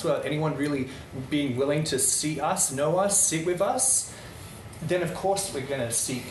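A man's voice: medium pitch, gravelly. Earnest rambling man, distant roomy recording. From Netherlands. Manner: rambling, passionate, many restarts. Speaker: speaker addressing a small group. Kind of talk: earnest unscripted talk. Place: room with a live audience. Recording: roomy, distant mic, noisy.

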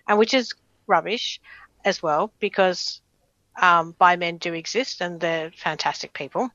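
A woman's voice: lowish voice, a little slow, sharp tone, no room sound, dull audio. A woman speaking earnestly as an advocacy guest on a radio interview. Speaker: guest, advocacy group president.

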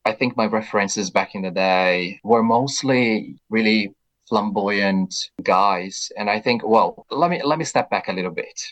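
A man from Brazil with a Brazilian accent, a guest on a radio interview, answering in a reflective, measured way with soft fillers. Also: fairly low pitch, low-quality recording.

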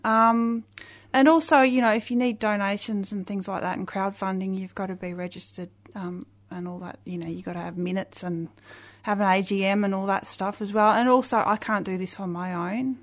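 A woman with a loud voice, a guest on a radio interview, talking eagerly with many restarts and fillers. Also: mid-range voice, fairly even intonation, moderate pace. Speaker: guest, community festival organizer.

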